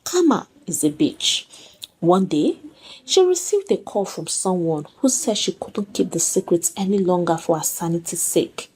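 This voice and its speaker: A woman tells a scripted folktale in a dramatic storytelling style. Her voice sits a little low and has a husky grain. The pitch rises and falls in a lively way. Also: measured pace, low-quality recording.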